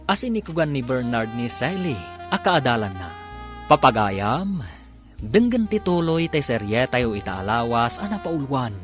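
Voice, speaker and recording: A man's voice: medium pitch, big pitch swings, a little slow. A man with an Ilocano accent narrating a dramatic radio serial, with music behind him. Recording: music under the voice.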